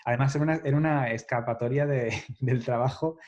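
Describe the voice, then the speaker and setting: Mellow-voiced man, thoughtful. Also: mid-range voice, steady tone, fairly quick. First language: Spanish. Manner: reflective, restarts phrases often, laughs lightly. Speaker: guest developer. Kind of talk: casual podcast interview. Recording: dull lo-fi podcast audio.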